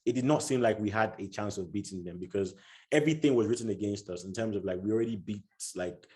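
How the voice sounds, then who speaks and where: A man's voice: on the low side, quick pace, a little mellow. A man chatting casually about football as a podcast guest, often restarting and repeating words as he thinks aloud.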